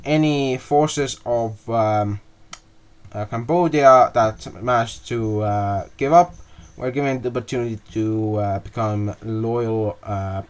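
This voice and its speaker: A young man talks through a map video at an unhurried pace, pausing often on fillers and restarting words. His voice sits at an ordinary mid pitch, easygoing and unscripted. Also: fairly even intonation, smooth. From half Russian. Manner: halting, many fillers and restarts. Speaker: mapping video creator. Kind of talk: casual map narration.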